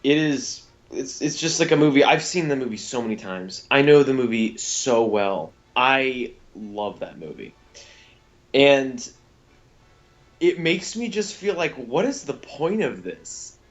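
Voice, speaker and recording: A man co-hosting a podcast, giving blunt, halting opinions in a casual critical chat and swearing freely. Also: mid-range voice, expressive, highs cut off. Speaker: podcast co-host.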